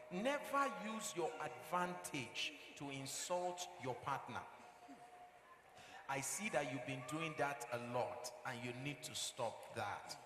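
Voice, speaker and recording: A man's voice: on the high side, close to an everyday voice. A man with a Nigerian accent acting on stage as a firm, fatherly marriage counsellor, lecturing emphatically with repetition and rhetorical questions. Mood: stern lecturing. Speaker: actor in a stage play. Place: theatre stage with live audience. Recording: echoey hall, noisy live stage recording.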